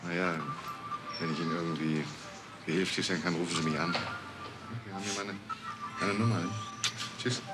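An actor playing a rough-edged police detective who talks bluntly and sardonically, in a crime drama. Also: male voice, deep voice, fairly even intonation, brisk, long pauses, close to an everyday voice, rough recording. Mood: friendly. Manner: gruff, blunt, wisecracking. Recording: music under dialogue.

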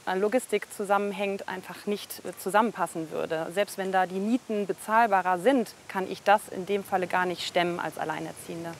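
A woman's voice: medium pitch, slight background noise. A woman in her forties answering interview questions, hesitating and searching for words. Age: 40s.

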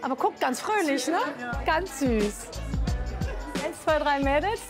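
High, lilting woman, slow casual chat. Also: animated, over loud music.

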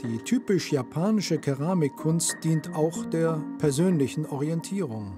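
A man reading a scripted TV report narration in a smooth, measured way. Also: on the high side, animated. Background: soft music.

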